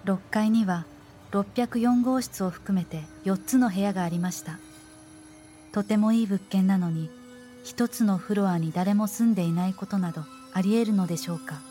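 A woman narrating a scripted horror radio drama in the first person, in a measured, formal storytelling manner. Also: medium pitch, warm tone, faint music behind.

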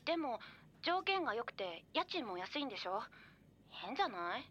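A woman's voice: a little high, very animated, moderate pace, fairly bright tone. An actress playing a caring, hesitant young woman, a worried best friend on the phone in a Japanese horror radio drama. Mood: worried, reluctant. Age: young adult. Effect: telephone voice filter. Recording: band-limited, phone-call sound.

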